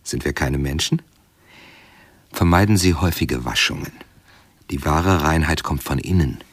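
Extremely deep, pompous doctor. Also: male voice, measured pace, breathy edge, no echo, exaggerated voice. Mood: sententious. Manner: clipped, sententious, prescriptive. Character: pompous, dismissive male professor of medicine lecturing his patient. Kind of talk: 1970s German radio drama acting.

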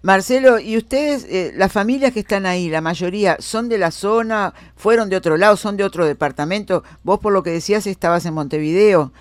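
A man's voice: high voice, a little fast, few pauses, no echo, studio quality. A male radio interviewer asking curious questions and giving brief affirming replies.

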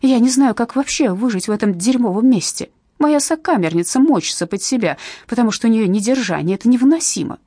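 A woman voicing a shrill, desperate jailed mother. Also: fairly quick, mellow, husky, no echo, clean studio sound, lightly acted. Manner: pleading, shrill outbursts. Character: distraught jailed mother, woman, clingy and indignant.

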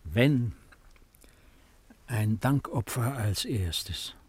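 A man's voice: medium pitch, animated, extremely slow, breathy edge, dry recording, heavily acted. An older man acting a brooding, anxious Roman emperor in a 1950s German radio drama, with solemn, reflective delivery. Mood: anxious waiting.

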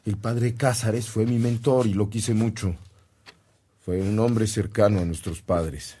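Deep-voiced solemn Mexican priest. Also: male voice, steady tone, measured pace, dark tone, slightly rough. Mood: firm, hurt. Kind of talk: radio drama acting.

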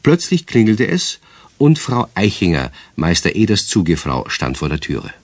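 Narrator of a children's story. His voice is low and husky, with lively rises and falls, recorded close and dry. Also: normal speed, no room sound, exaggerated voice. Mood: storytelling.

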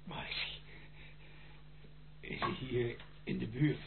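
An actor playing an ailing old general and grandfather, stern and agitated, speaking haltingly in a radio drama. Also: medium pitch, flat delivery, gravelly. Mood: weak, searching. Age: older. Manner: halting, slow, repeating words. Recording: muffled, noisy old radio play recording.

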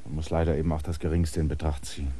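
An actor in a German radio drama playing a restless, stubborn outsider with a theatrical, intense delivery. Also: male voice, rumbling low, little pitch movement, racing, mellow, slight background noise. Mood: earnest. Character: restless, stubborn outsider man, anxiously waiting, bitterly proud.

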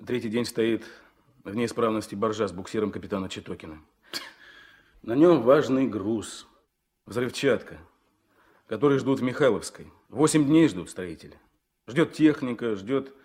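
An actor playing an earnest young Komsomol organiser in a Soviet radio play, speaking haltingly but persuasively. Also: male voice, lowish voice, a little mellow, lightly acted. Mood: earnest, hesitant. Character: earnest, hesitant young Komsomol organiser pleading his cause. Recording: vintage 1970s mono radio recording.

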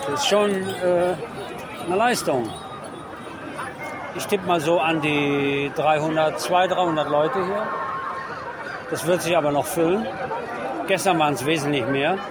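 Male street reporter, higher register, spontaneous wry commentary, loud urban crowd and traffic noise around him. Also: a little slow, pauses often. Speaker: radio host reporting from the street. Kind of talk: spontaneous live on-site commentary. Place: outdoors in a busy city crowd. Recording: noisy urban crowd outdoors. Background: crowd, street ambience, vehicles.

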